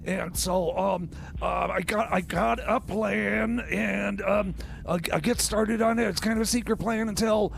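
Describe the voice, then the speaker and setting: A man playing a scatterbrained, excitable inventor with a secret scheme, stammering haltingly in an improvised radio comedy. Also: sits high, gravelly, slight background noise, exaggerated voice. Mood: flustered scheming.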